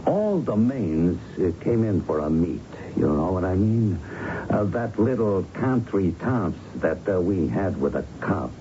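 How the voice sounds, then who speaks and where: Sly gangster, swooping voice, muffled radio. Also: male voice, low voice, very animated, measured pace, dark tone. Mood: sly, needling. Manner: slangy, drawling insinuation with tag questions. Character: folksy, smooth-talking, menacing male gangster. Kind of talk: radio drama acting. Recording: muffled, noisy old radio broadcast.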